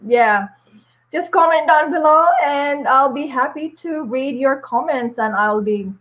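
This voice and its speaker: A woman in her forties, a nurse and vlogger chatting on a casual live stream at home, upbeat, with many fillers and little laughs. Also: a little high. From Philippines. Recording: dull lo-fi stream audio.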